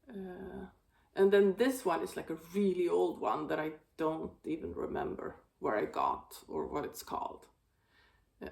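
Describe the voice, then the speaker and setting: A woman hosting a knitting video, chatting casually about her projects, with a slightly raspy voice and a rambling, filler-heavy way of talking. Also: on the low side. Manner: rambling, many fillers and restarts. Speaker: knitting podcast host.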